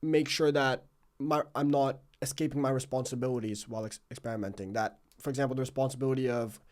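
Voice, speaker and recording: A young man of about twenty with a mid-pitched voice hosts a casual interview. He poses his questions conversationally. His phrasing is hesitant and searching as he works toward each point. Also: fairly even intonation, quick pace. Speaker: podcast host, interviewer.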